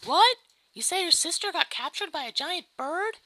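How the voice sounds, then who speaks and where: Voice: very high-pitched, animated, moderate pace, loud music behind the voice. An amateur performer voicing a dim, breezy young pirate girl, casual and slangy, in a video game parody. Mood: oblivious.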